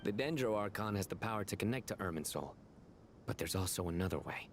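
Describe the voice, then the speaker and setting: An actor playing a composed, cerebral scholar in an anime-style video game, formal and precise in delivery. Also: male voice, on the low side, expressive, fast, soft background music, lightly acted. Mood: explaining.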